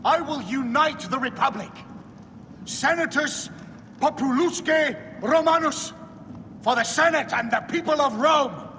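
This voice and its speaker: Ambitious Roman ruler, high-pitched, declamatory, video game drama, long hall reverb, noisy. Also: male voice, a little slow, long pauses, bright, forceful tone, exaggerated voice. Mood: imperious. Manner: declamatory, oratorical.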